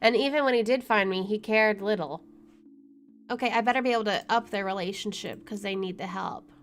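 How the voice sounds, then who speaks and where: A woman with a mid-pitched voice plays through a story game. She reads its text aloud and slips into wry, chatty commentary. Faint game music runs underneath. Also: brisk. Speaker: let's play streamer.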